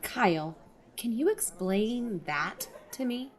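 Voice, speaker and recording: A woman's voice: a little high, lively intonation, slow. An actress playing a wary, candid young woman who narrates and talks in an audio drama in a conversational way. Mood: suspicious. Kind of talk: first-person audio drama narration and dialogue.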